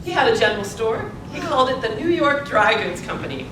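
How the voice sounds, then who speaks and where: A woman's voice: on the high side, sing-song, fairly quick. Woman acting elderly suffragist, echoey and distant. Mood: reminiscing, grateful. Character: eighty-six-year-old Montana suffragist woman, grateful, principled, reminiscing. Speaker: actor in a theatre presentation. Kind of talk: theatrical interview and monologue, live stage performance. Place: indoor presentation space at a public library. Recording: echoey, distant, noisy library event recording.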